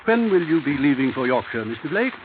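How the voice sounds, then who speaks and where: Tinny, noisy vintage radio sound carries a fussy older family lawyer. His delivery swoops and varies, formal yet avuncular. He mutters small hesitations between brisk, businesslike phrases. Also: fairly high pitch, big pitch swings. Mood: dry, emphatic. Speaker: actor in a radio drama. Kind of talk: old-time radio drama acting.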